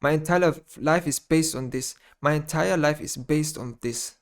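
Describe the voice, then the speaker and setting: Rambling German-accented man, slightly high voice. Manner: rambling, halting, repeats phrases, frequent restarts. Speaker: gaming YouTuber. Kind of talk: unscripted personal monologue.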